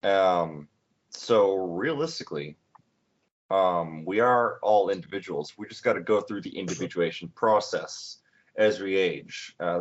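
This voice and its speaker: Rambling low-voiced man in his twenties. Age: 20s. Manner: rambling, many fillers and restarts. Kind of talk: casual chat. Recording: dull lo-fi sound.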